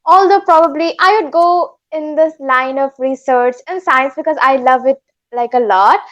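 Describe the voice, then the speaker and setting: A woman's voice: sits high, moderate pace, a bit bright. A teenage girl from India, speaking politely and cheerfully as she introduces a video and chats with a tutor. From West Bengal, India. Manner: polite and upbeat, light laughs. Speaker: vlogger and student. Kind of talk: vlog intro and casual chat.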